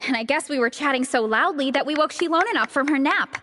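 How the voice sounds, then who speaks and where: A woman voicing a cheerful, encouraging young comic fan in a video game, animated and upbeat. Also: high-pitched, quick pace, long reverb tail. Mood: excited reminiscing.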